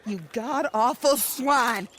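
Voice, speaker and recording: An actress playing a bitter, vengeful widow in a western game, speaking in a measured, formal, sardonic way. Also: female voice, a little high, heavy background noise. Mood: outraged. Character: bitter, grieving, vengeful widow of the Old West. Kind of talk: dramatic western game acting.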